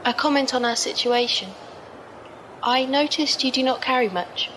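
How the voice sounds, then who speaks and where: A woman's voice: sits high, normal speed, fairly bright tone, a little hiss or hum. A woman playing a reserved, formal squire in a sci-fi audio drama, speaking with precise, careful wording. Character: formal, reserved female squire, dryly observant.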